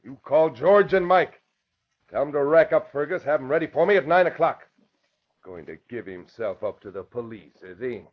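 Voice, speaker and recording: An actor playing a tough, sneering mob boss who gives slangy orders, in 1940s radio drama style. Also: male voice, medium pitch, lively intonation, normal speed, lightly acted. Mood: commanding, menacing. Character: beefy, cold, sneering racketeer boss. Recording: muffled old radio recording.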